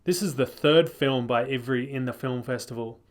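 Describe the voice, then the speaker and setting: A man hosting a film festival broadcast, introducing each film in a plain, measured way. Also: mid-range voice, slight background noise. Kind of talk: introducing films.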